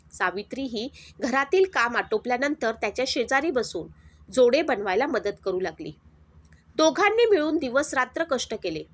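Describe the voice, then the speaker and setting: A woman narrating a moral story in a steady, dramatic storytelling manner. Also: fairly high pitch.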